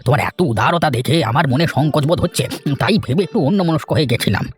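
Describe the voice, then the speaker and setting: Actor as wily old uncle, ingratiating, slightly high male voice, quick talker, children's cartoon. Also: expressive, soft background music, lightly acted. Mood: flustered, flattering. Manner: ingratiating, repetitive. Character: scheming, flattering old village uncle sponging on nephew.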